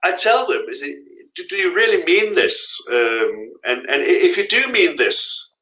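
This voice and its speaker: A middle-aged man with a bright, forward voice speaks as a guest in a live interview, giving blunt, frank warnings and pressing his points home. The sound rings off the walls of an echoey room. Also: a little high, big pitch swings, moderate pace. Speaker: guest, freelance translator.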